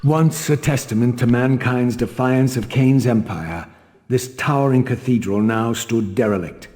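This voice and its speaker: An actor playing a vengeful undead wraith who speaks gravely and with scorn, in long reverb over game music. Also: male voice, medium pitch, unhurried, a little mellow, lightly acted.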